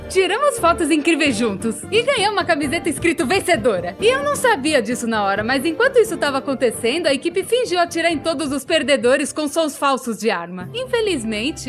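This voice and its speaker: A Brazilian actress playing a young female animator who tells her story in chatty, self-deprecating scripted cartoon narration. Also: high voice. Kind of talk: scripted first-person comedic narration.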